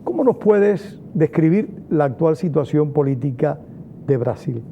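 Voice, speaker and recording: A man hosting a TV interview program in Spanish, speaking in a measured, formal way. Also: fairly high pitch, expressive, dark tone. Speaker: TV interview host. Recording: tinny, phone-like sound with faint noise.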